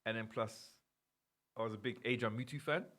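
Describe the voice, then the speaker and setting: A man from England hosting a casual interview, curious and jokey, firing quick questions. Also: fairly low pitch, a bit bright. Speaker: host.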